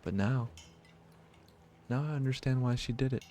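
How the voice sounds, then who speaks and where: A man's voice: medium pitch, normal speed, warm tone, a little hiss or hum, pitched above the performer's own voice, close to an everyday voice. A man voicing a creepy, lovesick young noblewoman in a visual novel, clingy and wounded. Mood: haunted, confiding. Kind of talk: visual novel dialogue read aloud.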